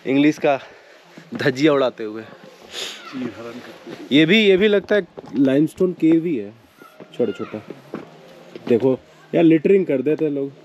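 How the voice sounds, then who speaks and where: A man's voice: fairly high pitch, a little slow, pauses often, dull audio, slight background noise. A man vlogging his trip in a casual, chatty way, mixing in English exclamations as he walks outdoors and into a cave. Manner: chatty, mixes English phrases, excited exclamations. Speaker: travel vlogger. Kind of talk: casual travel vlog narration. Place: outdoors and inside a limestone cave.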